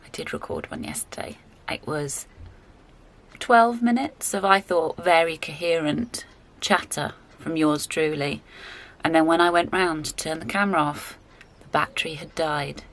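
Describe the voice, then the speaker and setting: A woman's voice: deep voice, unhurried, a bit bright. A woman, chatting casually and self-deprecatingly in a book vlog recorded in a garden shed. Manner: rambling, self-deprecating, with fillers and laughs. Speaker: book vlogger. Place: garden shed, rural area.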